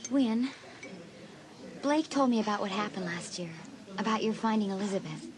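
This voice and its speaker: An actress playing a troubled, uneasy teenage schoolgirl with a husky voice in an audio drama. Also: medium pitch, expressive, normal speed, a crowd in the background, close to an everyday voice. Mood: earnest.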